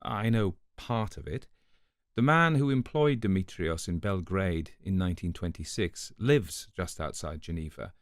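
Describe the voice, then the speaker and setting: A man giving a scripted literary narration in a measured, conversational storytelling manner, as if reading a letter aloud. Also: on the low side, dry recording, clean studio sound. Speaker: audiobook narrator.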